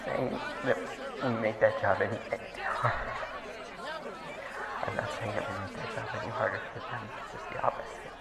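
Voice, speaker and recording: A man playing a distressed, earnest man rallying a crowd in an audio drama, stammering and pleading as he makes a halting, emotional speech. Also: on the low side, fairly even intonation, very noisy, natural voice. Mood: pleading, resolute. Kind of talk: audio roleplay acting, impassioned speech. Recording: echoey open-air space with crowd.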